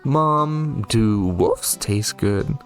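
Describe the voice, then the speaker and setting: Man putting on a plaintive, animated young boy. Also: fairly low pitch, extremely slow, soft background music. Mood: curious. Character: curious, whiny young boy questioning his mother.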